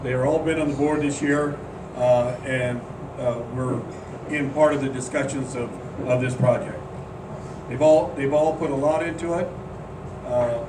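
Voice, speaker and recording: A man leading a club meeting, speaking haltingly with frequent "uh"s. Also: mid-range voice. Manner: halting, lots of "uh" fillers. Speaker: club board member chairing meeting. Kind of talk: meeting announcement. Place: meeting room at a golf club. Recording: roomy, distant mic, background hum.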